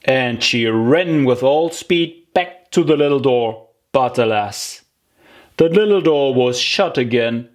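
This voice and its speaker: Audiobook narrator, very slow pace, lively intonation, deliberate children's storytelling. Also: medium pitch.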